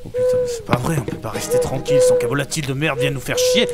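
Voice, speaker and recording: A man's voice: very high voice, huge pitch swings, fast talker, very noisy, full character voice. Man acting a wildly swooping grumpy camper. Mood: outraged. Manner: foul-mouthed, exasperated. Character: grumpy man by a campfire wanting peace, swearing. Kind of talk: French comedy audio drama.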